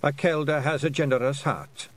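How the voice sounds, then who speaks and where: A man voicing a dying old fairy clan mother with a Scottish accent, speaking slowly and firmly in a raised voice. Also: steady tone, natural voice. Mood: commanding, frail. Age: over 60. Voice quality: slow, raised-pitch, firm old-woman voice.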